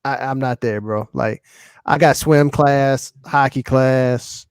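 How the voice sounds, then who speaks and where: A man's voice: medium pitch, fairly even intonation, slow pace, smooth, dry recording, studio quality. An American man co-hosting a podcast, chatting casually about music in slangy, informal speech. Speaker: podcast co-host. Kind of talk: casual hip-hop podcast chat.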